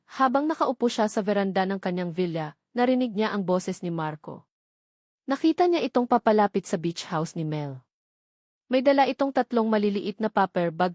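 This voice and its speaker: A woman's voice: mid-range voice, a little mellow, no echo, studio quality. A woman narrating a scripted dramatic story as an audiobook narrator.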